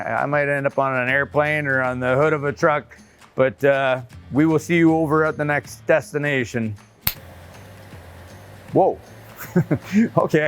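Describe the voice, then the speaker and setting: A man's voice: mid-range voice, slight background noise. A middle-aged man hosting a trucking video, talking casually to the camera outdoors in a folksy, upbeat way. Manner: folksy and chatty, upbeat asides; nervous on camera. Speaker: trucking channel host.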